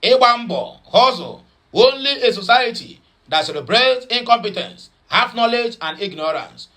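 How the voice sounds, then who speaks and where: A man's voice: very high-pitched, expressive, normal speed, bright, forceful tone. A man speaking in Nigerian Pidgin with some Igbo, giving chatty, mocking news commentary full of fillers and repeats. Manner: rambling with many fillers and repeats.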